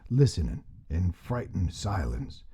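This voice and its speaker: A man with a deep, husky voice reading scripted literary audiobook narration. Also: big pitch swings, a little slow, mellow. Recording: dull, muffled playback of narration.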